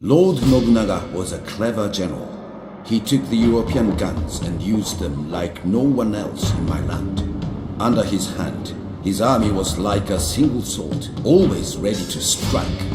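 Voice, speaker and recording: Deep, solemn samurai-era sage. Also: male voice. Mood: stern judgement. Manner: solemn, measured, sententious. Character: grave, wise Japanese elder recounting samurai history and counsel. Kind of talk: dramatic scripted narration for a game cutscene. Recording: roomy echo over music.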